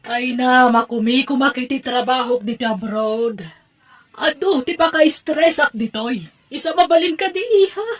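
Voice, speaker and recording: A woman's voice: sits high, normal speed. A woman playing an irritable, stressed mother working abroad in an audio drama, curt, dismissive and exasperated. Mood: irritated, stressed. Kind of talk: Ilocano audio drama acting.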